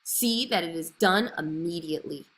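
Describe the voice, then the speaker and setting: A woman's voice: on the low side, moderate pace, fairly bright tone, lightly acted, poor audio. A woman voicing a fisherman's haughty, discontented wife in a storybook, with an imperious, commanding manner. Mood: imperious bellowing.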